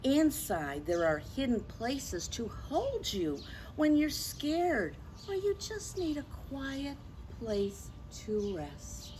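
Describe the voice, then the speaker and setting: A woman leading a children's story time, speaking deliberately and expressively, as if straight to young listeners. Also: sits high, very animated, background noise. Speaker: children's storyteller and religious educator. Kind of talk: children's story time, read-aloud and simple faith talk.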